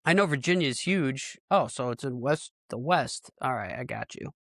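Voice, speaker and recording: A man's voice: medium pitch, animated, normal speed, a bit bright, dry recording, clean studio sound. An American man chatting casually as a podcast host. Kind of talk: casual chat.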